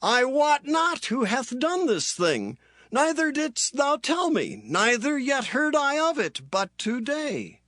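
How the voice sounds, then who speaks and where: A man voicing an old king who speaks in a slow, drawn-out, pleading way. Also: very high voice, huge pitch swings, fairly bright tone, no echo, studio quality, pitched above the performer's own voice, heavily acted. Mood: puzzled.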